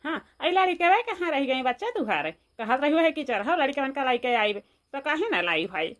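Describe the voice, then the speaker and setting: A talkative village woman from a cartoon, complaining in an Awadhi accent, voiced by a woman. Her voice is high and bright. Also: big pitch swings, normal speed. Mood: chatty. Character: chatty middle-aged village woman, gossiping. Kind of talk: cartoon voice acting.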